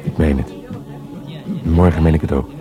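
An actor playing a dry, fond, slightly drunk police detective in a radio drama. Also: male voice, very deep voice, steady tone, moderate pace. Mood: tipsy, tender. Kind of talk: naturalistic radio drama acting. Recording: music behind the voice.

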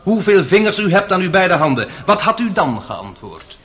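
A sly defence lawyer in a radio play. His questions are leading and theatrically ironic. The voice is bright and incisive, rising and falling freely. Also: sits high, very animated, moderate pace. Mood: mocking. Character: sly, undaunted defence lawyer cross-examining a witness. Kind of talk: radio drama acting.

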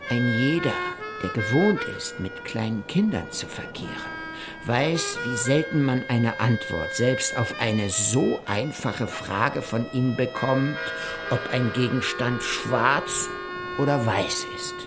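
A man giving measured, formal narration of a journal in a literary radio play. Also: medium pitch, loud music behind the voice. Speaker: narrator reading an explorer's journal.

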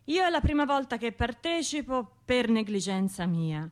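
An actress playing a troubled, hesitant bombing survivor in a radio drama monologue, speaking in a halting, self-questioning, conversational way. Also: female voice, fairly high pitch, very animated. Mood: hesitant, apologetic.

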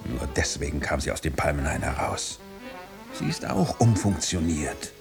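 An actor in a German radio drama playing a sly, commanding man guarding a secret, with a measured, authoritative manner. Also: rumbling low, animated, music in the background. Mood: coolly explaining.

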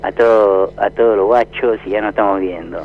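A man's voice: lowish voice, fairly even intonation, a little fast, narrow, tinny audio, a little hiss or hum, close to an everyday voice. A man putting on a cheeky, slangy Argentine caller in a comic radio phone-in, a joking immigrant character. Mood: cocky. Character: joking Argentine-Bolivian prawn caller posing as psychologist. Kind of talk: improvised comic radio call-in.

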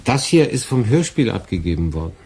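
A sardonic, lecturing boss. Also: male voice, fairly low pitch, sing-song, fairly quick, a little mellow, slightly rough, exaggerated voice. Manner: dry, lecturing, ironic. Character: sardonic middle-aged male boss, lecturing. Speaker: department head.

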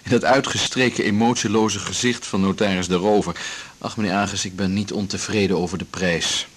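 A man's voice: low voice, steady tone, brisk. An actor playing an affectionate, teasing and self-assured male partner in a 1980s Dutch radio drama. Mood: satisfied, assured.

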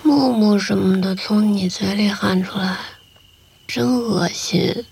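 An actress playing a cool, teasing young woman in an audio drama, with a husky, breathy voice. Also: medium pitch, steady tone, slow, darkish tone, heavily acted. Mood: dry, teasing. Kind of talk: Chinese audio drama acting.

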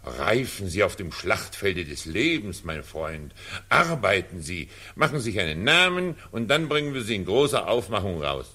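An actor playing a pompous, evasive cabaret director in a 1940s radio drama, talking in a condescending, theatrical, patronizing way. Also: male voice, lowish voice, very animated, moderate pace, bright, forceful tone, breathy edge, heavily acted.

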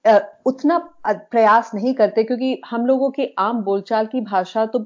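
An Indian woman co-hosting a podcast in a conversational, thoughtful way, often pausing to find her words. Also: a little high, a little mellow. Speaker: podcast co-host. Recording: dull, lo-fi audio.

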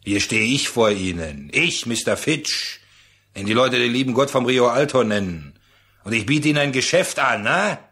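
An actor playing a domineering, short-tempered trading boss in a German radio play, barking and scornful. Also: male voice, medium pitch, expressive, moderate pace, pushed and bright. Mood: commanding. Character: domineering, irascible male trading boss in the tropics.

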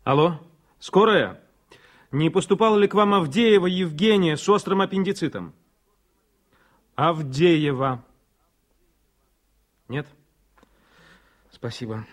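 An actor playing an experienced surgeon in a radio drama, kindly and gently ironic, a little flustered and musing. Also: male voice, on the high side, expressive, takes it slowly, pauses often. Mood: anxious. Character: experienced male surgeon, kindly, self-mocking, smitten, slightly flustered. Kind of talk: Soviet radio drama acting.

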